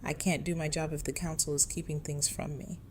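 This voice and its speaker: A woman's voice: low voice, little pitch movement, fairly quick, darkish tone, a little hiss or hum, lightly acted. A woman playing a stern, guarded and unyielding security chief in an audio drama. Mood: angry, accusing. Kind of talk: full-cast audio drama acting.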